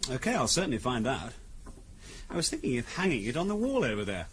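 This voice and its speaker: A man's voice: mid-range voice, wildly swooping pitch, quick pace, fairly bright tone. A keen middle-class English husband in a 1970s radio play. His delivery swoops about, eager and wry, always coaxing. A little noise sits behind him on the old recording. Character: keen middle-class husband, amateur antiques buff, cajoling host. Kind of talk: 1970s radio drama acting.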